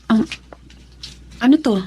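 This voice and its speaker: A woman's voice: slow pace, dark tone, slight background noise, natural voice. A woman playing a concerned, inquisitive aunt and loyal friend in a radio drama, speaking expressively. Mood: curious, guarded.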